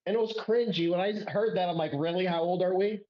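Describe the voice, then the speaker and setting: A man's voice: high voice, fairly even intonation, fast, roomy sound, highs cut off. A man co-hosting a wrestling podcast, giving blunt, opinionated takes over a remote call. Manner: opinionated, direct. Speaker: podcast co-host. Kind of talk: casual wrestling discussion.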